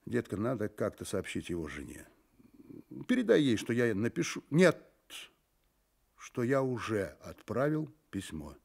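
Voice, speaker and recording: Husky private eye guy, cool and bossy, radio play, voice moves around a lot. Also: on the low side, very animated, measured pace, darkish tone, exaggerated voice. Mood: brisk, commanding. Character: male private detective, brisk, cool, commanding. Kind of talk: radio drama acting.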